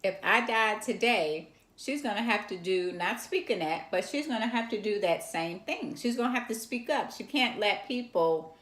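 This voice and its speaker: A woman's voice: mid-range voice, lively intonation, moderate pace, a bit bright. A middle-aged woman co-hosting a casual chat with her daughter, teasing and laughing often. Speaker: co-host, mother of co-host. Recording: echoey room.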